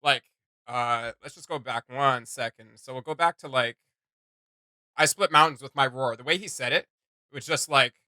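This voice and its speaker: A man's voice: mid-range voice, pushed and bright, no echo. A man hosting a reaction video, giving casual, opinionated commentary and rephrasing his points as he goes.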